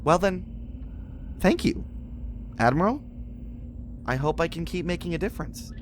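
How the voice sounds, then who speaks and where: A man's voice: on the high side, lively intonation, moderate pace, slight background noise, natural voice. A man playing an earnest, insecure, rambling rookie space agent in an audio drama. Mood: eager, relieved. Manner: nervous, rambling, apologetic.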